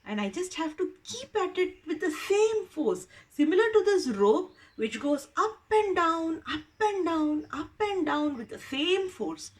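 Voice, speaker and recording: A woman storyteller plays an earnest storybook boy full of wonder. The voice is high and swings freely up and down as he reasons things out slowly. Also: expressive. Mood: earnest realization. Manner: earnest, puzzled, reasoning aloud. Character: earnest, wondering young boy thinking hard. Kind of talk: storybook character voice.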